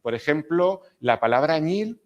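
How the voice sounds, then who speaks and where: A man's voice: a little high, lively intonation, normal speed, highs cut off. A middle-aged man from Spain with a Spanish accent touched by Argentine habits, giving an academic lecture and often checking in with the audience. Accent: Spanish with some Argentine features. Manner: explanatory, with frequent tag questions to the audience.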